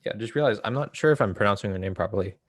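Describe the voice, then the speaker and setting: Guy with a lowish voice talking thru a book casually, dry close sound, stops and starts while he thinks. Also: no room sound. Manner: halting, thinking aloud, explanatory. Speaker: host of a political book read-along.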